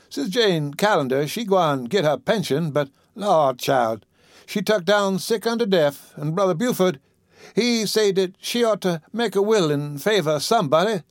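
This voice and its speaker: Guy doing a high-voiced old southern lady, bossy and motherly, drawls her words out slow, dry close sound. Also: dry recording. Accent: American Southern. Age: older. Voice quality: high put-on voice, drawn-out dialect speech.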